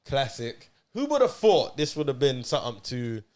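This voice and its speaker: Animated slangy man. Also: medium pitch, normal speed. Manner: energetic slangy banter, repeats phrases. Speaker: co-host. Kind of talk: casual chat.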